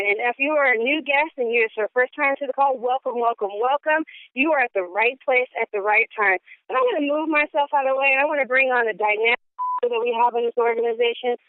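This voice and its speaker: A woman's voice: sits high, no room sound. A woman hosting a conference call, bubbly and enthusiastic, repeating her welcomes to each caller. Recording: phone line.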